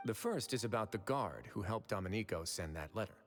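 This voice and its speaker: An actor playing a composed, confident, shrewd prison administrator in an anime game, speaking in an assured, deliberate way. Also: male voice, on the low side, lively intonation, long reverb tail, faint music behind. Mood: smooth, assured.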